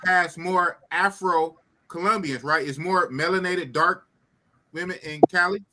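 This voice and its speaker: The high-pitched man running a late-night call-in stream through a noisy connection, slangy and repeating his lines to the guests. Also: unhurried. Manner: slangy, repeats phrases for emphasis. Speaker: podcast host. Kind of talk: casual live-stream chat. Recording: clicky, clearly noisy stream audio.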